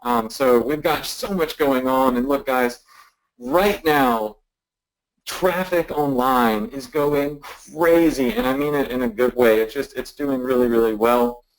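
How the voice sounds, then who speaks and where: A man's voice: medium pitch, measured pace, rough recording. A man in his thirties hosting a live online marketing webinar from his office, chatty and upbeat, with plenty of ums and restarts. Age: 30s. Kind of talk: casual live webinar teaching. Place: office at a stand-up desk. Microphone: desk mic on a live webinar.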